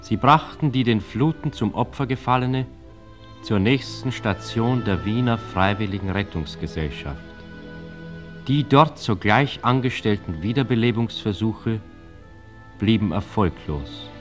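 An actor playing an old-time newspaper announcer in a radio drama, reading reports in a formal, measured, declamatory way. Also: male voice, on the low side, expressive, music in the background. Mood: solemn.

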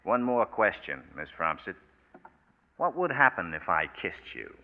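Hardboiled American private eye, sardonic and clipped, lowish voice, tinny old-radio sound with long reverb. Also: male voice, big pitch swings, measured pace, exaggerated voice. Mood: sardonic, needling. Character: wisecracking, tough, cynical male private detective. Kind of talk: 1940s radio noir acting and narration.

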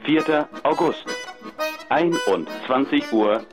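An actor playing an impersonal announcer reading dates and times in deliberate, clipped phrases for a radio drama. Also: male voice, mid-range voice, expressive, music in the background.

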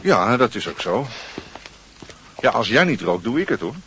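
A man's voice: medium pitch, sing-song, moderate pace, fairly bright tone, a little hiss or hum. A man playing a cocky, evasive teenage school friend with an affected nonchalance in a radio drama.

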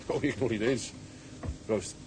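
A man plays a plain-spoken young ship's mate in a drama. He talks in a middle-pitched voice with a dry, understated, colloquial manner. Faint ambient noise sits behind him. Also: a little slow, darkish tone, natural voice, poor audio. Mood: dry, friendly. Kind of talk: naturalistic drama dialogue.

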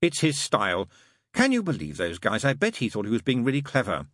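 A man's voice: mid-range voice, huge pitch swings, a little fast, fairly bright tone, no echo, clean studio sound. A man voicing a sly, impatient male demon in an audiobook, with a sarcastic, exasperated manner.

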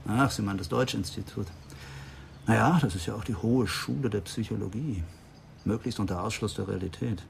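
A defensive hesitant clinic doctor, creaky lowish voice, radio play, bit of background noise. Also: male voice, brisk. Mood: wry, defensive. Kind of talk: German radio crime drama acting.

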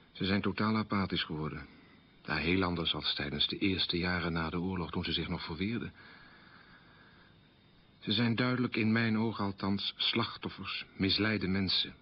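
A troubled middle-aged man in a confessional radio monologue. His voice is deep and a little husky, and he speaks soberly in measured, articulate phrases. The sound is slightly dull and lo-fi. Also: fairly even intonation. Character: troubled man burdened by his family's collaborationist past.

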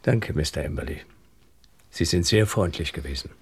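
An accused husband in a radio drama. His voice is very low and husky. He presses for answers with urgent, insistent tension. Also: expressive, normal speed, heavily acted. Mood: controlled, probing. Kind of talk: German radio drama acting.

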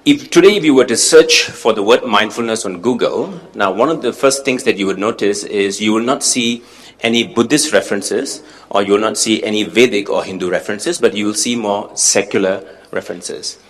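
A man's voice: lowish voice, a little fast. A man from Singapore in his forties giving a conference talk as a mindfulness teacher, earnest and self-effacing, explaining with frequent fillers. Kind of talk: conference presentation. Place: conference room with audience.

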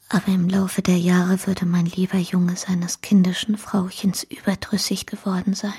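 An actress playing a frail, dying young wife in a radio play, tender and resigned, in a faint, breathy whisper. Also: female voice, medium pitch. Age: young adult. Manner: faint, slow, gentle. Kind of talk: German radio play acting.

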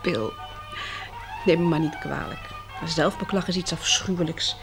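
A woman acting in a radio play as a caring, anxious, motherly survivor, with an expressive, emotional delivery. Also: sits low, normal speed, music in the background. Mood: rueful, tender. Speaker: actress in a radio drama.

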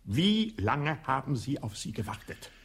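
A shrewd, ironic inspector. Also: male voice, mid-range voice, very animated, a little slow, creaky, breathy edge, exaggerated voice. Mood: probing. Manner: probing, ironic questioning. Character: shrewd male police inspector. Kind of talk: radio play acting.

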